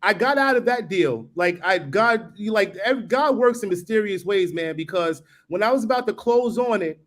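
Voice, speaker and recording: Man, forties, from New York, high-pitched, relaxed casual chat, video call. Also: moderate pace. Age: 40s. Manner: relaxed and conversational. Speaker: guest. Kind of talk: casual live-stream chat.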